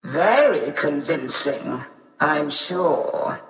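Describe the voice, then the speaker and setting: A woman's voice: sits low, animated, drawn-out words, heavily acted. An actress voicing a haughty, disdainful female tech-priest of a machine cult in a video game, with a formal, imperious manner. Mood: cold suspicion. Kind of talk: video game character acting. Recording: distant, echoing, long reverb.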